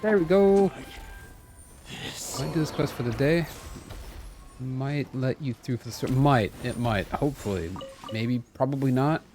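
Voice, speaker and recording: A man's voice: mid-range voice, unhurried, long pauses, a little mellow, soft background music. A man chatting casually as a game streamer, playful, often repeating phrases as he thinks aloud. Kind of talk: casual live gameplay chat.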